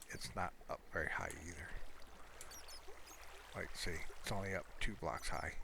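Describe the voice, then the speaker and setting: Slow, deep-voiced man rambling over gameplay. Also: background noise. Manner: hesitant, rambling, low-key. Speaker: gamer showing a Minecraft world. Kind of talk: casual gameplay commentary.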